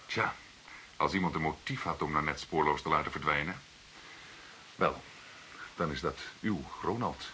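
An actor playing a shrewd junior police detective in a radio drama, asking pointed, insinuating and confrontational questions. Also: male voice, extremely low pitch, moderate pace, long pauses, pushed and bright. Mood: accusing, controlled. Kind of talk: Dutch radio drama dialogue. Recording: hissy old radio drama recording.

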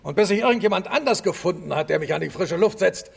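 An actor playing a defiant, stubborn male professor in a radio drama, bombastic and sarcastic, with a raspy, gravelly voice. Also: high-pitched, expressive, fast, full character voice. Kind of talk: theatrical German radio drama acting.

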